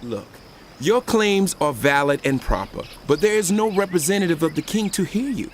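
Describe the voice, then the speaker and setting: A proud, persuasive young prince in a scripture audio drama. His voice is high and swings widely as he declaims insistently, with light noise behind. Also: lively intonation, moderate pace. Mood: ingratiating.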